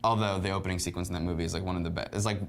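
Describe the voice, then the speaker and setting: A man hosting a film review show in casual conversation, often halting as he searches for his words. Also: low voice, fairly even intonation.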